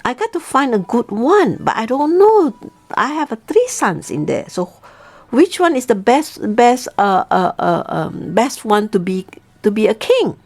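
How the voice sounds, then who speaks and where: A woman teacher telling a folk tale in a chatty, animated way, often checking in with her listeners. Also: on the high side, measured pace. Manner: animated, chatty, frequent "okay?" check-ins. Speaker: Chinese teacher telling a story. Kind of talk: casual storytelling.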